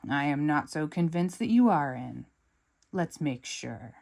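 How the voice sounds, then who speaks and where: A woman's voice: sits low, lively intonation, measured pace, gravelly, darkish tone, exaggerated voice. A woman reading a storybook aloud, voicing a big, boastful male villain who swings between jovial and menacing.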